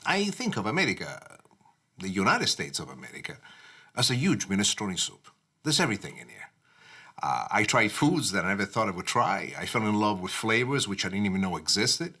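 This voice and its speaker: A man hosting a cooking show from his kitchen as a TV chef, speaking with passion and expression and often pausing on "uh". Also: lowish voice, husky. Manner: passionate, expressive delivery with frequent "uh" fillers. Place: home kitchen.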